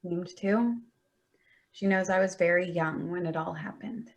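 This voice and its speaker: A woman's voice: on the low side, measured pace. A woman playing an exasperated, defensive adult daughter in a staged play reading, speaking tersely and bristling. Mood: guarded, terse.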